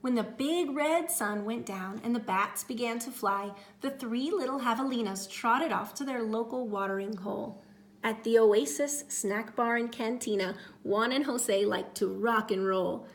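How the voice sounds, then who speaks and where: A woman with a fairly high voice narrates a children's story. Her delivery is theatrical and expressive, lingering on words for effect. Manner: theatrical, deliberate phrasing with drawn-out words. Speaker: storybook reader.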